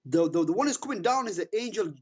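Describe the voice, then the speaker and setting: High-voiced husky man, halting debater. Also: lively intonation, dull audio. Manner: halting, stammering, repeats words. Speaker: caller in a religious debate. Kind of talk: informal defensive debate.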